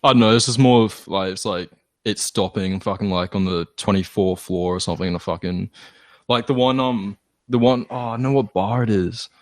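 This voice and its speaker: A man in casual conversation, talking in a halting, informal, sweary way. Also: lowish voice, dry recording, studio quality. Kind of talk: casual chat.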